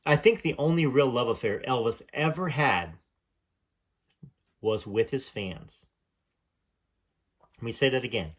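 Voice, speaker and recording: A man's voice: mid-range voice, animated, a little slow, pauses often. A man reading aloud from a memoir in an earnest tone, stopping now and then for chatty, hesitant asides. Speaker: channel host reading from a memoir.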